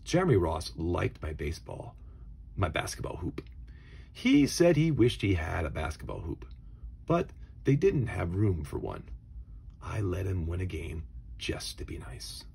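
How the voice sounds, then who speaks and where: A man reads a children's storybook with a very raspy, low voice. His delivery swings widely in pitch, dramatic and expressive, moving between hushed and emphatic phrasing. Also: a little slow. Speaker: storybook reader.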